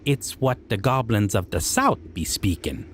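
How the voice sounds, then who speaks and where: A man's voice: fairly low pitch, lively intonation, measured pace, a little hiss or hum. A man voicing a proud, amused, menacing goblin king who speaks in broken grammar and musing hums. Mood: mocking. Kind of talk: roleplay audio monologue.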